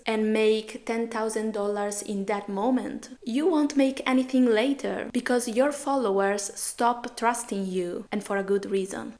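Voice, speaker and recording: Casting note: woman, steady mid-pitched scripted commentary. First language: Italian. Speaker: commentary creator.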